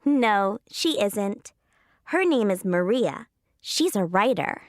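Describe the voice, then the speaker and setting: A woman acting a thirteen-year-old girl tennis player in a scripted textbook dialogue, giving short, slow, clearly spaced answers. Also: medium pitch, expressive, dry recording, clean studio sound. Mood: plain. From Canada.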